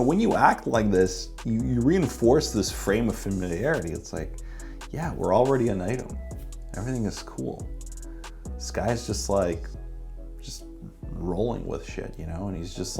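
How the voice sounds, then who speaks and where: A man's voice: low-pitched, a little mellow. A man talking as a dating coach, giving a casual commentary breakdown of his own footage with lots of filler words. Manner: casual, laid-back, many fillers. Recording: faint background music.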